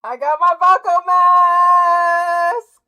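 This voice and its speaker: A woman livestreamer chatting casually with her viewers in an excitable, slangy, informal way. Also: extremely high pitch, drawn-out words. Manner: excitable, hyped, effusive; slangy, informal, drops swearing in. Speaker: livestreamer hosting a game stream.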